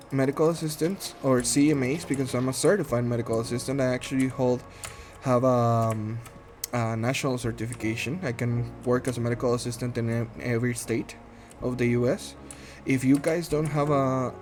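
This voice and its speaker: A man in his thirties from Guatemala, speaking English with a Guatemalan Spanish accent, introducing himself in a shy, halting way full of ums and restarts. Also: mid-range voice. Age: 30s. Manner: shy, soft-spoken, earnest. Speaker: gaming channel host. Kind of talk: casual self-introduction while playing a game.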